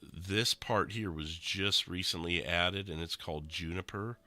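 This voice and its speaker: A young man streaming a game, sounding nasal and rough after being sick, explaining things casually with lots of fillers. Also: low voice, measured pace, no room sound. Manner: relaxed, rambling explanations with many fillers. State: nasal and rough from recent illness. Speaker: streamer explaining a roleplay server. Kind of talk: casual gameplay commentary.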